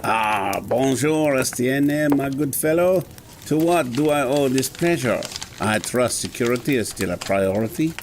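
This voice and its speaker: A man's voice: medium pitch, lively intonation, unhurried, a little hiss or hum, lightly acted. An actor playing a stern, haughty male head of an academy in an audio drama, speaking formally. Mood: pompous greeting. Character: pompous, evasive, imperious scholar in charge of an academy.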